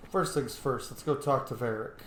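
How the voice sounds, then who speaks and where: A man records casual gameplay commentary in an echoey room with faint noise behind him. He thinks out loud and mutters to himself as he goes, talking like a player to his viewers. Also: mid-range voice, moderate pace. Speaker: video game player narrating a roleplay playthrough. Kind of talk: casual let's-play commentary. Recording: roomy echo, background noise.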